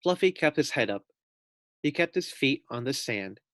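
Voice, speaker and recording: A man reading a children's storybook aloud as a teacher, with deliberate, clear phrasing. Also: medium pitch, lively intonation, fairly bright tone, no room sound, studio quality.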